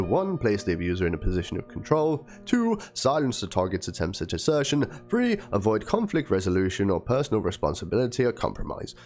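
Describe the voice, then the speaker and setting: A dramatic reader with a middling pitch performs written messages theatrically. The delivery is aggrieved and pleading, and music plays behind the voice. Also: darkish tone. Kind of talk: dramatic reading of messages and a document. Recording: music under the voice.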